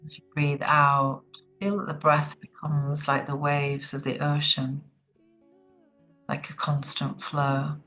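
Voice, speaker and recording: A woman leading a guided relaxation meditation in a soothing, gentle, unhurried manner. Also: low voice, little pitch movement, soft background music.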